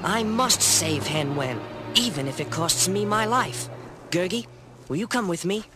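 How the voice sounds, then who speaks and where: A man's voice: high-pitched, a little slow, over music. A young man voicing an eager, impetuous teenage farm boy in a storybook drama. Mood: earnest. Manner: earnest, excitable.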